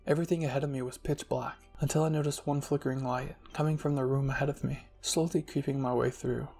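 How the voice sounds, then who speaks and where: A man narrating a scripted fan fiction story in a soft ASMR style as a channel host, with music behind him. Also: medium pitch, fairly even intonation, fast talker, slightly rough.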